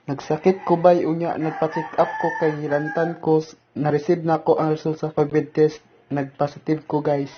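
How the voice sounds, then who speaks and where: A man's voice: on the high side, level tone, moderate pace, background noise, close to an everyday voice. A man playing a laid-back young guy with a Filipino accent, speaking in casual slang in an amateur radio drama. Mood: subdued. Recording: dull lo-fi audio under loud music.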